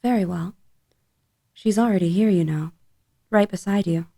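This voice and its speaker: Woman as an eerie little girl, soft-toned. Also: mid-range voice, moderate pace, creaky. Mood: cool, knowing. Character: eerie ghostly little girl, lost, later cool and knowing. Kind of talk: audio drama acting.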